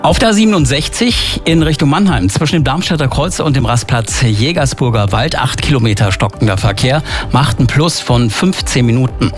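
Male traffic announcer, mid pitch, scripted bulletin, faint music behind.

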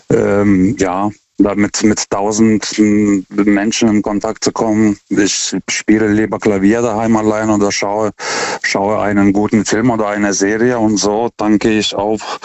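A forty-year-old man calling a radio phone-in, speaking non-native German with an accent, haltingly, stammering over the starts of words. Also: fairly low pitch, darkish tone, no room sound. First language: not German. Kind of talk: unscripted, reflective call-in talk. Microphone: telephone. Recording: dull, band-limited phone call.

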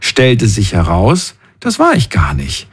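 A man's voice: on the low side, huge pitch swings, slow, husky. A man hosting a call-in radio show, chatting informally with listeners and reading out their messages. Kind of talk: casual chat, reading listener messages aloud.

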